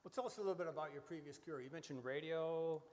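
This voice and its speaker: A man's voice: a little high, quick pace, a little mellow. A man hosting a casual, joking live interview, putting questions to a guest. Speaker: interviewer, show host. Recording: echoey hall, noticeable background noise.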